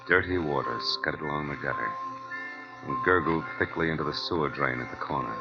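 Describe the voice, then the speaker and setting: An American man playing a cynical, brooding hard-boiled detective with terse, wry delivery in a 1940s radio noir drama. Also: very deep voice. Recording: muffled vintage 1940s radio recording with music.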